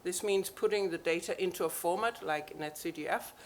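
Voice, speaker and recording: Woman scientist explaining an answer at a panel, steady, faint hiss behind. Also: on the low side, brisk. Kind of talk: answering audience question.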